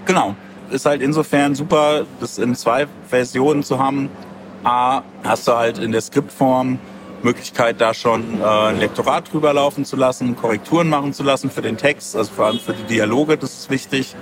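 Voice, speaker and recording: A man's voice: medium pitch, steady tone, moderate pace. A man speaking as a guest author in a casual interview, colloquial and wry in the way he talks. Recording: clearly noisy, ambient background noise.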